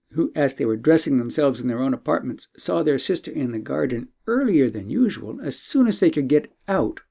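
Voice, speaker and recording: A male audiobook narrator tells a tale in a mellow voice with a creaky edge. His phrasing is careful and formal, and his pitch moves with lively expression. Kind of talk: scripted storytelling narration.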